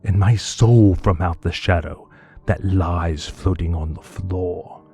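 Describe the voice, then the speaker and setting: A man voice actor giving a theatrical poetry reading, swinging between hushed murmurs and anguished cries. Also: low voice, slow, slightly rough, faint music behind. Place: home studio.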